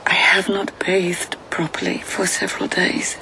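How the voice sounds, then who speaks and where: An actress playing a dreamy, tender, observant court lady in a radio drama, musing in a hushed, breathy way. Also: lowish voice, little pitch movement, unhurried, in a whisper, rough recording. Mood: dreamy, intimate. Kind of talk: intimate radio drama acting.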